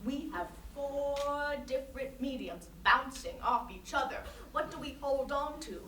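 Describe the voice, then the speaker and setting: Pompous high-voiced female artist, distant mic. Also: animated, unhurried, a bit bright. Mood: dramatic, emphatic. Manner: pompous, lecturing, emphatic. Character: female conceptual artist, grandiose, earnest, pretentious. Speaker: actor in a staged reading. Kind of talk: theatrical monologue in interview form. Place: staged reading in a reverberant room. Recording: roomy, distant microphone, noisy hall.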